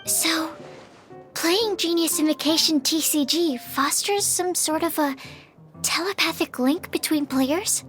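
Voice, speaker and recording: A woman's voice: very high-pitched, measured pace, soft background music. A woman voicing a shy, curious young scientist who muses analytically, in a game. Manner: soft, analytical, musing.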